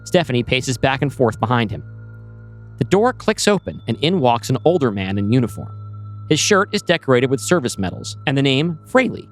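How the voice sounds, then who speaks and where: Expressive male screenplay narrator, lively pitch. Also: normal speed, faint music behind. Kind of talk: scripted screenplay narration, present tense.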